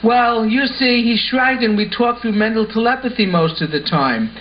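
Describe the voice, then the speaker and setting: A man playing an earnest, excitable schoolboy who explains things eagerly in an amateur puppet show. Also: very high-pitched, normal speed. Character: Lance, earnest excitable schoolboy puppet spinning wild tales. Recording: muffled audio with faint background noise.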